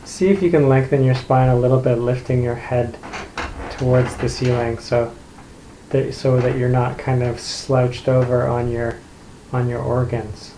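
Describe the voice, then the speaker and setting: A man leading an unscripted guided meditation, speaking gently and unhurriedly with long pauses between phrases. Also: mid-range voice, little pitch movement. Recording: roomy echo, light background noise.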